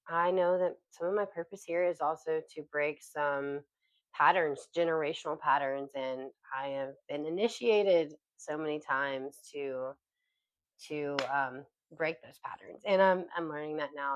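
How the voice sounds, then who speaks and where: A woman's voice: low voice, dry recording, poor audio. A woman in her thirties co-hosting a podcast, speaking in a soulful, emphatic way about her life. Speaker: podcast co-host. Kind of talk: casual heartfelt chat.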